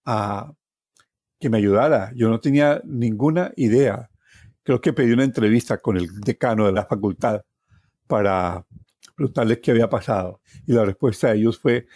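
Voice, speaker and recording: Mellow Colombian man in his sixties reminiscing. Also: lowish voice, no echo, clean studio sound. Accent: Colombian Spanish. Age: 60s. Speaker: storytelling guest journalist. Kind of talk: personal reminiscence, conversational storytelling. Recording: music behind the voice. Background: plucked guitar music.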